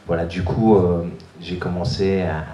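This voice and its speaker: Hesitant soft-spoken man, echoey room. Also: low-pitched, flat delivery, measured pace, slight background noise. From France. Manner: hesitant, many fillers and restarts. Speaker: guest giving a testimony. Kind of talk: personal testimony at a church youth event.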